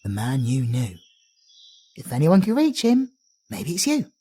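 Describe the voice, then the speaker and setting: Bloke doing a matey british traveler, rambly storyteller, husky voice, pitch all over the place. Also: male voice, huge pitch swings, a little slow, dark tone, full character voice. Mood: reassuring. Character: chatty friendly British male traveler companion. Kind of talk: ASMR audio roleplay.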